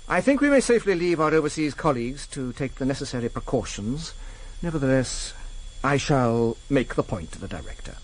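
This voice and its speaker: Fussy, coolly menacing intelligence chief, lively intonation. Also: male voice, mid-range voice, a little fast. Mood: smooth, cold. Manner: fussy, precise, insinuating. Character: pedantic, coolly menacing male senior intelligence official. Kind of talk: radio drama acting.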